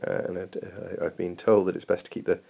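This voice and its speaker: A man's voice: low voice, flat delivery, fairly quick, vocal fry, mellow, slightly rough. A man giving informal running technique feedback over a training video as a coach, thinking aloud in a hesitant way.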